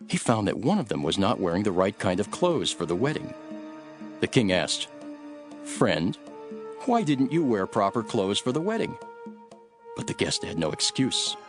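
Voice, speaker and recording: A man playing an authoritative teacher in a Bible audio drama, speaking in a firm, emphatic, measured way. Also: mid-range voice, close to an everyday voice.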